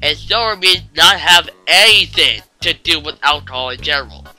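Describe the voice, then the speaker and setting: A man hosts a casual fan-theory video in a jokey, sweary way. His voice is fairly high and very bright, and he talks slowly over faint music. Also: expressive. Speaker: online video host.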